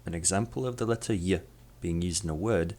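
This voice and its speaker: A young man whose first language is Polish, presenting a scripted language lesson in a measured, explanatory way and demonstrating sounds as he goes. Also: deep voice, a little mellow. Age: young adult. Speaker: student presenter.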